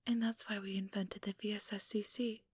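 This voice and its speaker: A woman's voice: mid-range voice, little pitch movement, moderate pace, no room sound, close to an everyday voice. A woman voicing an ambitious, manipulative female vampire in an intimate, coaxing ASMR whisper, close to the microphone. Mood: coaxing, idealistic. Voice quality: breathy, whispered. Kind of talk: ASMR whispered role-play monologue. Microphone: close microphone, dry.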